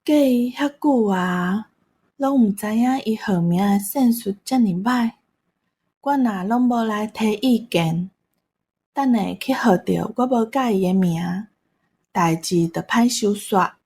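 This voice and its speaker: A woman playing a gentle, practical old country grandmother with a Taiwanese accent in a radio drama. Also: medium pitch, slow pace, breathy edge. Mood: thoughtful.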